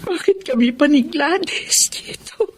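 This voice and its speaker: A woman's voice: high voice, animated, measured pace, slight background noise. An actress playing an emotional middle-aged mother, wronged in love, in a Filipino radio drama. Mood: anguished. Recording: radio drama with music underneath.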